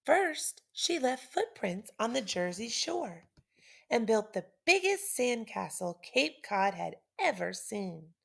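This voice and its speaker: A middle-aged woman reads a children's storybook aloud in an expressive, swooping voice. She takes her time, stressing words dramatically, with some creak in her voice. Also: medium pitch. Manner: clear, deliberate storytelling with dramatic emphasis. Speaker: teacher reading aloud.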